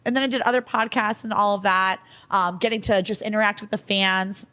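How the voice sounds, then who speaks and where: A young American woman talking quickly and eagerly as a podcast guest, with lots of casual slang. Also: mid-range voice. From United States. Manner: fast, animated, slangy talk. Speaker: guest, fighter and commentator. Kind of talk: casual interview chat.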